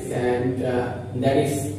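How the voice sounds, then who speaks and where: Young man, English lesson, slow repetitive explaining, mellow voice, echoey room, distant camera mic. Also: mid-range voice, level tone, soft background music. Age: young adult. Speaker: English teacher. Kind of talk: beginner grammar lesson. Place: small indoor room with whiteboard.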